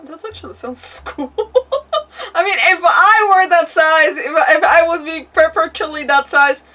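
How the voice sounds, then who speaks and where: A woman's voice: very high voice, unhurried, sharp tone. Playful woman streamer, slightly distant mic. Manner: rambling, playful, mild swearing. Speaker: game livestreamer. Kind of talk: casual live gaming commentary.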